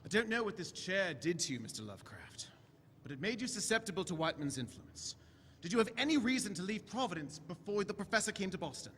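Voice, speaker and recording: A man playing an eccentric, inquisitive time-traveling doctor in a staged audio drama, chatty and brisk with theatrical swings. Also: sits high, sing-song, fairly bright tone. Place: large convention hall stage. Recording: echoey hall stage recording with noise.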